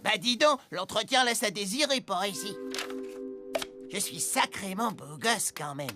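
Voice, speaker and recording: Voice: medium pitch, animated, measured pace, close to an everyday voice. A woman voicing a boastful, greedy, slightly dim boy in a cartoon dub, with a slangy, swaggering way of talking. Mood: cocky. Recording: music behind.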